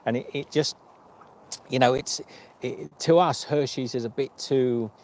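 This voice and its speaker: A man's voice: mid-range voice, fairly even intonation, unhurried. A man from Australia chatting casually on a travel livestream, rambling and correcting himself. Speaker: vlog host.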